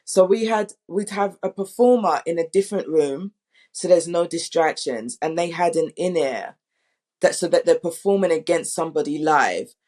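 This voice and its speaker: A woman director in a casual panel talk, thinking aloud in a halting, conversational way. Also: on the low side, a little mellow. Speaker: audio drama director.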